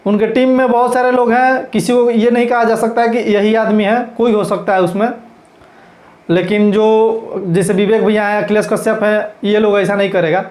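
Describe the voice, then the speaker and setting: Very high-pitched man, Bhojpuri-accented Hindi. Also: fairly even intonation, normal speed, a little mellow. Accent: Bhojpuri-region Hindi. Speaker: YouTube channel host. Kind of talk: informal news commentary to camera. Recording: echoey, roomy sound.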